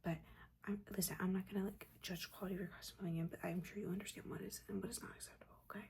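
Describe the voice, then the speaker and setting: A woman's voice: mid-range voice, level tone, racing, darkish tone, natural voice. Woman doing a whispery witch mentor asmr thing, judgy but caring, casual slangy chatter, bit of noise behind. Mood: chiding, fussy. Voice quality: breathy whisper. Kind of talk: soft-spoken whispered ASMR roleplay.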